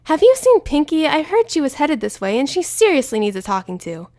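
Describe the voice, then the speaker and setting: A woman's voice: high-pitched, very animated, a little fast, mellow, dry recording, studio quality, pitched above the performer's own voice. A woman voicing an earnest, somewhat bossy young female pony, urgent in manner.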